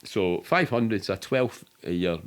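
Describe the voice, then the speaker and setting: Low-voiced Scottish man telling rambling stories. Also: lively intonation, a little slow. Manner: rambling, sweary, matter-of-fact. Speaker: podcast guest. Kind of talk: casual storytelling in an interview.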